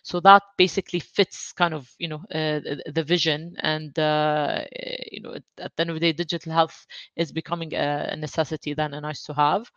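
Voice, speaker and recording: A woman from Jordan, a venture fund director, answering interview questions about her career in an even, level way. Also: low-pitched, a little fast, dry recording, highs cut off.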